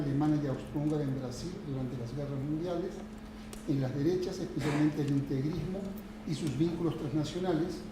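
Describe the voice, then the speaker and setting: A male moderator reads a formal introduction in a somewhat high voice. He sits far from the mic in a strongly echoing hall. Steady background noise runs behind him. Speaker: panel moderator. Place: conference hall. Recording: distant, echoey hall with noise.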